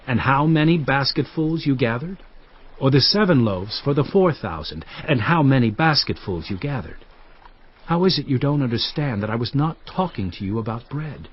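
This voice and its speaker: An actor playing a commanding, earnest male teacher in a dramatized audio Bible, speaking emphatically and deliberately. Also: mid-range voice, slightly rough, highs cut off.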